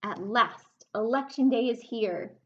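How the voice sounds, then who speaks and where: A woman hosting a children's story time, reading aloud in a sing-song, animated way and prompting young listeners with questions. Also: a little high, unhurried, muffled recording.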